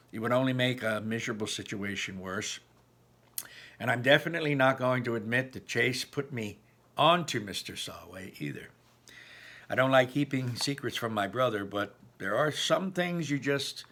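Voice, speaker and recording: A man reading a novel aloud in an expressive, emphatic, informal way, like a teacher, with personal asides. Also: medium pitch.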